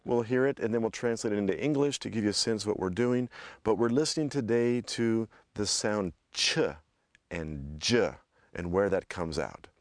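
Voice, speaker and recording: A man hosts a language lesson podcast with a slightly low voice that is a little scratchy today. He sounds upbeat, explains things slowly and laughs easily. The sound is dry and close. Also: animated, dry recording. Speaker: podcast host and language teacher.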